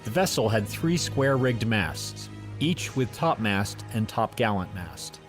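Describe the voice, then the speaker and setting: A man's voice: on the low side, big pitch swings, unhurried. A man reading scripted documentary narration as the voice of an audio encyclopedia. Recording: music under the narration. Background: background music.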